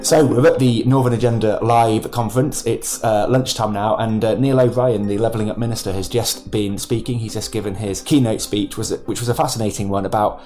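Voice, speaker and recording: A man interviewing a guest at a conference, talking in a conversational, slightly halting way. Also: fairly low pitch, steady tone, warm tone, faint music behind.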